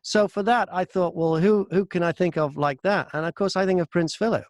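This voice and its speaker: A man's voice: high voice, fairly quick, no echo. British man in conversational interview, dry sound. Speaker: audiobook narrator and voice-over artist interviewed as guest.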